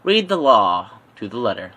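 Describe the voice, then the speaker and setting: A man's voice: mid-range voice, sing-song, moderate pace, sharp tone. A man voicing a scheming supervillain in an amateur fan story, muttering and deliberating in an offhand way. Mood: advising. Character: scheming adult male supervillain, gruff and musing.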